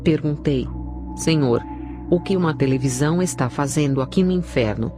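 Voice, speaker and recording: A woman's voice: deep voice, moderate pace. A woman with a Brazilian accent reading a scripted narration of a religious testimony. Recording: clear background music under the voice. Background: music.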